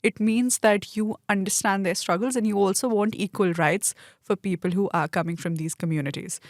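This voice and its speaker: A woman's voice: mid-range voice, fairly even intonation, moderate pace, no room sound, clean studio sound. A young woman who grew up in Australia, a student guest on a podcast, answering earnestly and articulately. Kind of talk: interview answer.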